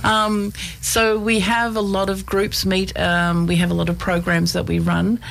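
A woman's voice: mid-range voice, flat delivery, unhurried, creaky, rough recording. An Australian woman, a community centre's education coordinator, talking enthusiastically on a radio interview. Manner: enthusiastic, warm talker. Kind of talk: casual interview chat.

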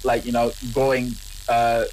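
A man's voice: medium pitch, completely flat delivery, a little slow, darkish tone, a little hiss or hum, rough recording. A man in his thirties with an Indonesian accent, a scientist answering interview questions earnestly, often repeating and restarting words. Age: 30s. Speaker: scientist and business owner.

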